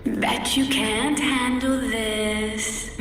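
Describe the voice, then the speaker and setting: A woman's voice: a little high, fairly even intonation, a bit bright, faint music behind, full character voice. An actress playing a menacing female villain, mocking her foe from afar in an echoing video game scene. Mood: mocking menace. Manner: slow, mocking. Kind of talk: dramatic video game voice acting. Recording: echoing hall effect, distant.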